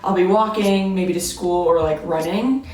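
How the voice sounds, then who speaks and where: A woman's voice: fairly low pitch, moderate pace, darkish tone. A woman in her twenties from New York, an exchange student giving earnest, casual interview answers filled with "like". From Queens, New York, USA. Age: 20s. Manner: earnest, fills with "like". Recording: echoey room, mic far off.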